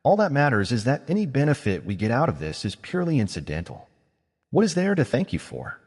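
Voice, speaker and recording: A man's voice: medium pitch, wildly swooping pitch, brisk, darkish tone, breathy edge, big-room sound, voice pushed up. A man voicing a guarded, blunt young male mage who speaks dryly and confrontationally.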